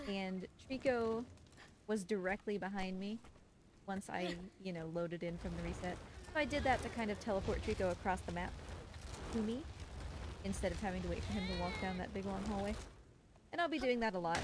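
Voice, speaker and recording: A woman giving live gameplay commentary as a speedrunner, with playful, coaxing asides to a game character. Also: medium pitch. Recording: clear background game noise.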